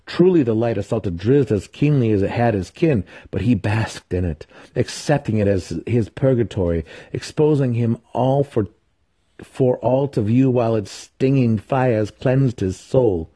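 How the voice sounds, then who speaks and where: A man's voice: medium pitch, expressive, measured pace, warm tone, slightly rough. A man reading fantasy fiction as a grave, dramatic scripted narration. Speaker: audiobook narrator.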